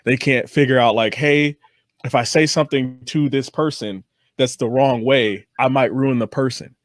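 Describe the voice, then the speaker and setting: A man's voice: medium pitch, fairly even intonation, normal speed, no room sound, studio quality. A young adult man hosting a casual interview, affirming and reflective, feeling his way into questions. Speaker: podcast host, interviewer.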